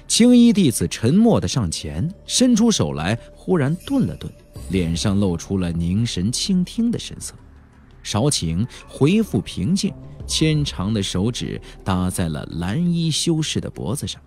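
A man's voice: lowish voice, a little mellow. A man narrating a fantasy audiobook in a theatrical, emphatic style, drawing out key phrases for drama. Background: faint background music.

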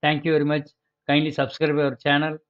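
A man from Chennai with a Tamil accent hosts his channel in a casual voice-over. He is polite and instructive, and he talks haltingly, repeating himself and correcting himself as he goes. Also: on the high side, completely flat delivery, muffled recording. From Chennai, India. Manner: repetitive, halting, with many self-corrections; polite and instructive, addresses the listener as sir. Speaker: channel host giving property auction advice.

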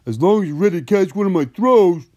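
A big, friendly giant from a children's tale, as a man acts him out. He sounds jovial and hearty, with a raised and very lively voice. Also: high voice, fast talker, lo-fi sound, pitched above the performer's own voice. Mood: happy, hearty. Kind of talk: children's storybook character voice.

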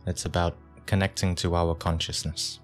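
A man leading a guided meditation as a teacher, speaking calmly in short phrases with long pauses. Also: low-pitched, faint music behind.

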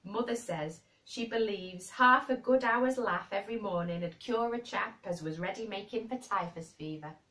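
A woman's voice: medium pitch, normal speed, hardly any pauses, bright, forceful tone, natural voice. A woman voicing a good-humoured country boy with a broad rustic Yorkshire dialect in an audiobook story. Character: good-humoured Yorkshire moor lad, chuckling and earthy. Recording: roomy echo, distant microphone.

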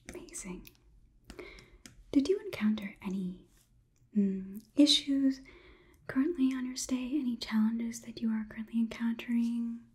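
A young woman in her twenties playing a politely condescending female robot overlord in a slow, measured, breathy ASMR whisper, close to the microphone. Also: a little high, warm tone. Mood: polite, probing. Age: 20s. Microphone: close sensitive microphone.

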